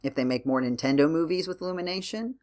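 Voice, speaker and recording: A man's voice: fairly high pitch, fast talker, darkish tone. A man hosting a casual podcast discussion, explaining his thoughts in a rambling, hesitant way. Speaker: podcast host.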